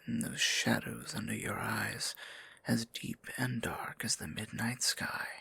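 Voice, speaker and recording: Male actor as dark fantasy sorcerer, courtly and tender, possessive, slow deliberate phrasing, heavy gravelly creak, half-whispered, intimate ASMR roleplay. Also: lowish voice, exaggerated voice. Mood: tender concern. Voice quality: breathy, gravelly, often near-whispered. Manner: formal, archaic endearments, slow deliberate phrasing.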